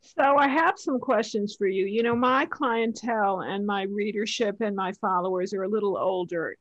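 A woman's voice: mid-range voice, normal speed, no room sound, muffled recording. A woman hosting a nutrition podcast, introducing her guest and asking questions in an enthusiastic, effusive way. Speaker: podcast host. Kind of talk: podcast interview.

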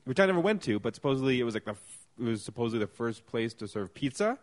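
A man's voice: mid-range voice, very animated, rapid-fire. A man hosting a casual podcast, joking and rambling with lots of fillers. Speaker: podcast host.

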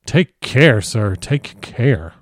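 Audiobook role: male reader as a bereaved young gentleman, proud and indignant, impassioned emphatic delivery, dry sound. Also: mid-range voice, sing-song, drawn-out words, no room sound, exaggerated voice. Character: passionate, indignant grieving young gentleman, easily outraged. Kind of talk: audiobook character voice.

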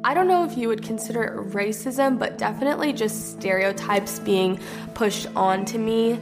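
A young woman telling her story in an animated way, quoting what others said to her. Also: fairly high pitch, normal speed, music in the background. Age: young adult. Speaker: interviewee. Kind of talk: casual personal story.

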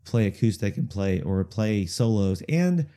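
A man chatting in an interview about his music, talking in a halting way with lots of fillers, restarts and repeated words, chuckling now and then. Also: lowish voice, very animated, warm tone. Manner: chuckles through stories. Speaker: musician guest. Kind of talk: casual rambling interview storytelling.

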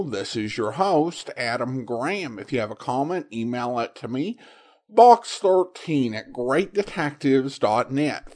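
An American man introducing his podcast from a script. His voice sits a little high and swings widely in pitch as he announces. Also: a little mellow. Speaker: podcast host. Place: recorded in Boise, Idaho.

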